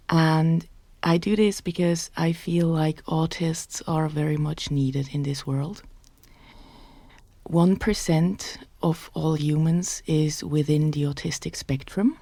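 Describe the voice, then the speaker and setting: A woman in her thirties with a Swiss German accent, hosting a personal podcast in a soft, reflective way and sometimes dropping to a whisper. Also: deep voice, no room sound. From Switzerland. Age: 30s. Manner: soft-spoken, thoughtful, sometimes drops to a whisper. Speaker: podcast host.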